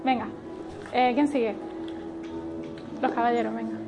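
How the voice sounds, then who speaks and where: Woman, high voice, informal interactive workshop host, encouraging, music underneath. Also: steady tone, a little slow, gravelly, dull audio. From Málaga, Spain. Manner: encouraging, interactive, prompting others with questions. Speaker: workshop leader. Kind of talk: informal workshop talk to a group.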